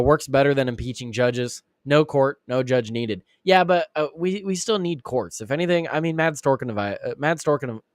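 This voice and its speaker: Male live-show host with a raspy, slightly sick voice, mid pitch, rambling delivery, dry close sound. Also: no echo. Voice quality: raspy, deeper than usual. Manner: rambling, many restarts and fillers. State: sick, clearing throat and coughing. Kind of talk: casual live-stream commentary.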